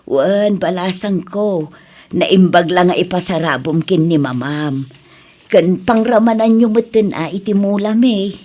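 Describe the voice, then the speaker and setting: A woman's voice: on the low side, fairly even intonation, a little slow, mellow. A woman playing a caring, encouraging middle-aged mother in an Ilocano radio drama, tender and coaxing, with an Ilocano accent. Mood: kindly.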